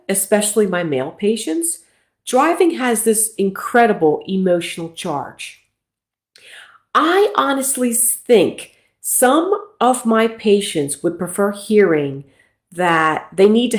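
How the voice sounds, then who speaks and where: A woman's voice: mid-range voice, reverberant. A middle-aged woman from Philadelphia, a nurse practitioner hosting a casual livestream talk in a chatty, self-deprecating way.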